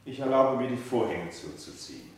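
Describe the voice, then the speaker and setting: An actor playing an aggrieved ageing manservant in a radio drama, husky and theatrical, with stilted formal phrasing and a self-pitying temper. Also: male voice, medium pitch, very animated, a little fast, background noise, lightly acted. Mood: subdued, servile. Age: older.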